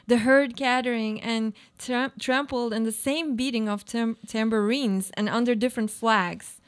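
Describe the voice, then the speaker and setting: A woman playing a weary, cynical aging housewife in a deliberate, declamatory radio play monologue. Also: a little high, close to an everyday voice. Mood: bitter, mocking. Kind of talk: live radio play monologue, read from a script.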